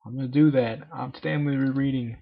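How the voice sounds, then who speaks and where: A man's voice: mid-range voice, steady tone, fast, vocal fry, muffled recording. A young man reading fan fiction informally, with jokey, self-deprecating asides. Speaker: amateur fan fiction reader.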